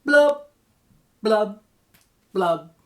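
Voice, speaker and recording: A woman's voice: mid-range voice, animated, very slow, darkish tone. A woman voicing a gloomy male fish in a storybook reading, with a droning sing-song delivery. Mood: glum. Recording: echoey room from far off.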